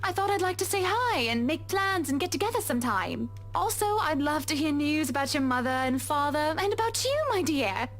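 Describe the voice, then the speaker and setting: A poised young wife with a high voice who is politely cutting and prim, even when she sounds sweet. Faint game music plays under her lines. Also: normal speed, hardly any pauses, exaggerated voice. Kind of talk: game voice acting.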